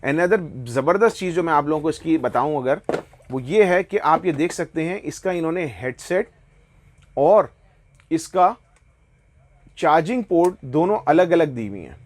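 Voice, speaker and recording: A man with a Pakistani accent gives a casual product review and sales pitch, explaining enthusiastically and often slipping into English phrases. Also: lively intonation, normal speed. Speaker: online motorbike gear seller presenting products.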